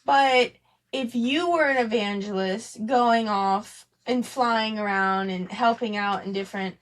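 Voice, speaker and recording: A woman's voice: on the high side, measured pace, rough recording. A woman co-hosting a podcast in casual conversation, rambling a little as she thinks aloud. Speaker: podcast co-host. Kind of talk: casual podcast chat about family devotions.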